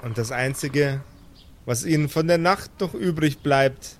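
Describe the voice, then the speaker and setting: A man's voice: on the high side, unhurried. A man narrating an improvised audio drama as game master in a dramatic storytelling style.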